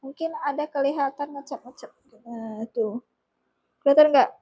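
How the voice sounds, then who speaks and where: A woman's voice: high voice, normal speed, dark tone. A middle-aged woman, chatting informally and giggly to viewers and family in a casual home vlog. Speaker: family vlogger and mother.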